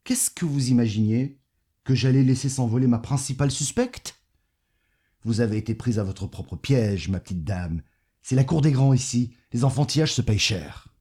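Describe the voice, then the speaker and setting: A man's voice: medium pitch, moderate pace, dark tone, close to an everyday voice. A man playing a smug, condescending, corrupt mayor in an audio drama, patronising and coolly menacing. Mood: smug, persuasive. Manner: patronising, cajoling. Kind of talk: French audio fiction acting.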